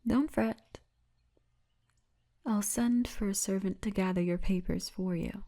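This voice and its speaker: A woman's voice: medium pitch, unhurried, warm tone, natural voice. A woman playing a poised, seductive orc tour guide in an ASMR fantasy roleplay, teasing and often half-whispering. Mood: caring.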